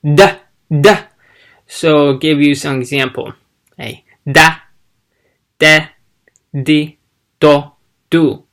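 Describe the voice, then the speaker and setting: Slow halting man. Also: on the high side, fairly even intonation, fairly bright tone. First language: Chinese. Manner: slow, halting, demonstrates sounds aloud. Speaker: language learner vlogger. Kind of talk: casual teaching vlog.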